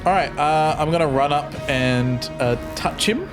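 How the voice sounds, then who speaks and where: A man's voice: on the high side, measured pace. A man chatting casually as a player in a tabletop game. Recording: music behind the voice. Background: background music.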